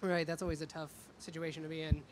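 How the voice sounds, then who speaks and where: A man's voice: fairly high pitch, flat delivery, fast. Guy host doing casual interview at a con, says like a lot, some noise behind him. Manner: informal, peppers speech with "like". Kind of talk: casual fan-like interview chat. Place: fan convention event. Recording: noisy live event with background ambience.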